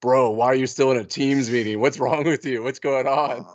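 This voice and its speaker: A man's voice: medium pitch, steady tone, moderate pace, no echo, muffled recording. A man chatting casually with a group in a joking manner. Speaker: book club podcast member.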